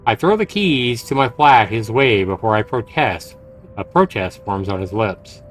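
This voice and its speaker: Low-voiced man reading a story aloud. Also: lively intonation, measured pace. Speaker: streamer reading a visual novel aloud. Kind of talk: live game stream narration, reading aloud. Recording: game music behind. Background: video game music.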